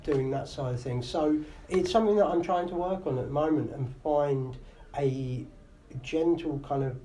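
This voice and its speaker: A man's voice: a little high, normal speed, warm tone, low-quality recording. A man who is a comedian and radio host, answering interview questions in casual, thoughtful talk with many fillers. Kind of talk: podcast interview. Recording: live room with faint noise.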